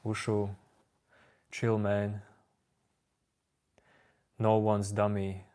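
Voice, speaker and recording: A man whose first language is Latvian, calmly answering viewers' chat questions on a live stream. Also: lowish voice, clean tone. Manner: calm, unhurried replies to chat. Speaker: livestream host answering chat.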